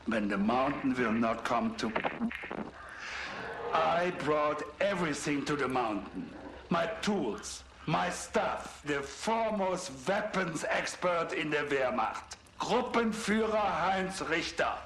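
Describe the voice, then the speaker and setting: Fanatical German mad scientist, sadistic, grandiose, slow deliberate pacing, wildly swinging pitch, game cutscene villain. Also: male voice, sing-song, background noise, heavily acted. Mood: proud, awed storytelling. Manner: dramatic, deliberate pauses, savoring words. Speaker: villain narrating his research. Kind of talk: theatrical video game monologue.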